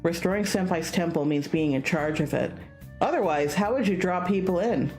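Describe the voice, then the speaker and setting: A woman streamer with a playful, animated manner, reading a visual novel aloud with casual asides. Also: on the low side, normal speed, faint music behind.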